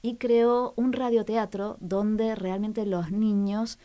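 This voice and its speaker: A woman with a medium-pitched voice presents a casual radio culture segment. She talks conversationally, pausing to find her words and repeating phrases for emphasis. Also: steady tone, dark tone. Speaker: co-host of a cultural radio show.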